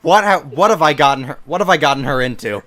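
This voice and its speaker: A man's voice: a little high, animated, fast talker, pushed and bright. A man hosting a casual fan dub, laughing often and reading game tutorial text. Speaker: host and narrator of a fan dub.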